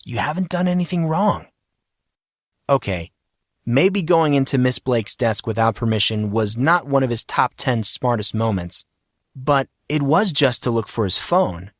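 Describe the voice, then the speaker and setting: A man's voice: mid-range voice, lively intonation, unhurried, dry recording. Man narrating a story, dry sound. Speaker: audiobook narrator. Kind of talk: scripted dramatic story narration.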